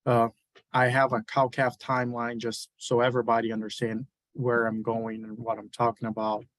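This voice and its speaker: A man's voice: medium pitch, monotone, normal speed, a bit bright, dry recording. A man from Brazil with a Brazilian accent, giving a webinar lecture as a university beef cattle specialist, with many hesitant fillers. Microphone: video call.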